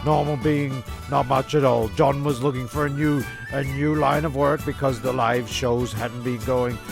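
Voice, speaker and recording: A man with a strongly gravelly, creaky voice narrates a comedy radio play. He swears freely and trips over repeated words, with music clearly audible behind him. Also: medium pitch, fairly even intonation, moderate pace. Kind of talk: sweary scripted comedy banter and recap.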